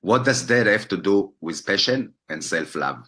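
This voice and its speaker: A man hosting an online interview, introducing his guest in a friendly, halting way. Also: low-pitched, expressive, pushed and bright. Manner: friendly, halting, filler-heavy delivery. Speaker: interview host.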